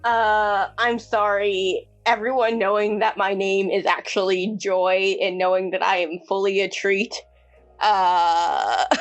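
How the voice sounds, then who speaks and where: A woman chats and banters as a player in a tabletop game. She is teasing and giggly, with a mid-pitched voice and faint music playing behind her. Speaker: player in a tabletop roleplaying podcast. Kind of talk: casual in-character banter.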